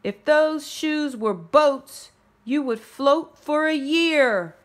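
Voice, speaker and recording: Woman as taunting schoolkid, raised pitch, loud, mocking, storybook character. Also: extremely slow.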